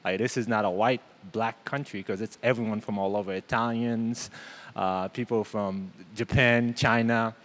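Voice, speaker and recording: A man's voice: lowish voice, steady tone, moderate pace, fairly bright tone. A man from Haiti speaking conversationally as a guest, explaining his own views and story. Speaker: panel guest from a church.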